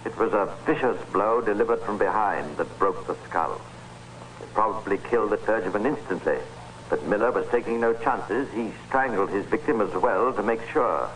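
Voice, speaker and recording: An actor playing the grave, measured narrator of a crime tale in an old-time radio drama. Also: male voice, on the low side, fairly bright tone, close to an everyday voice, low-quality recording. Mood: grave, ominous. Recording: hissy vintage radio recording.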